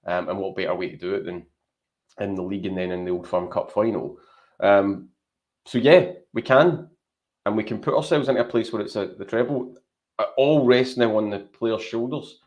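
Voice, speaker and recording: A man with a Scottish accent talking through his opinions as a guest on a casual football podcast, measured and teasing. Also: on the low side, darkish tone, highs cut off. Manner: measured, thinks aloud, teasing. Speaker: podcast panelist.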